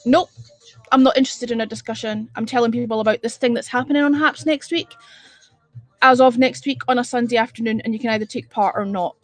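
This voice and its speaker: A woman's voice: fairly high pitch, fast talker, faint music behind. A woman from Edinburgh with a Scottish accent, hosting a casual live stream and chatting bluntly and exasperatedly with viewers, swearing freely. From Scotland. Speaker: livestream host.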